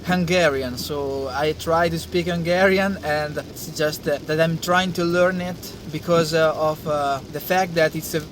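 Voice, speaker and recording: High-voiced Italian man, halting speech. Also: steady tone, slight background noise. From Italy. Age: young adult. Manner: hesitant, many uh fillers and restarts. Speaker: science channel host. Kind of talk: casual talk to camera.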